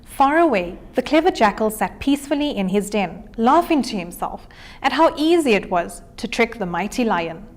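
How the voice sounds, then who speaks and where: Female storybook reader, fairly high voice, animated delivery for children. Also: normal speed.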